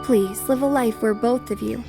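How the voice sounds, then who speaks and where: A woman voicing a poised, self-assured young noblewoman in an anime fan dub, formal, calm and resolute. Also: a little high, moderate pace, vocal fry, over music. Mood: calm resolve.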